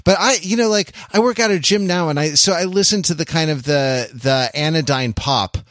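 A man co-hosting a podcast, chatting casually in a digressive, animated way with wry asides. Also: a little high, brisk, dry recording, studio quality. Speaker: podcast co-host.

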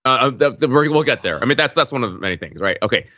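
A man's voice: medium pitch, big pitch swings, fast talker, pushed and bright, no room sound, dull audio. A man in a casual film discussion, talking in an emphatic, nitpicking way as a podcast panelist.

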